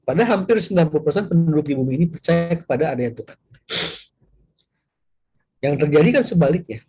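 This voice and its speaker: A man's voice: a little high, fast talker, mellow, husky. A man with a cold giving an Islamic study lecture over an online call, asking rhetorical questions and dropping "ya" between phrases. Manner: frequent filler "ya", rhetorical questions. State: has a cold. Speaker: Islamic teacher.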